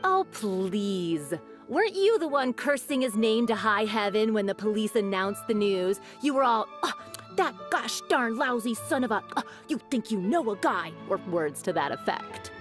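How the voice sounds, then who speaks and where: A woman's voice: on the high side, expressive, normal speed, hardly any pauses, natural voice. A woman playing a gossipy, teasing townswoman in a video game, chatty and theatrical. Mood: mocking. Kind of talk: video game character acting. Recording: music behind the voice.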